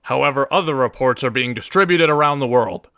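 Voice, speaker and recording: Bright, creaky man reading scripted monologues. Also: mid-range voice, fairly quick, no echo, clean studio sound.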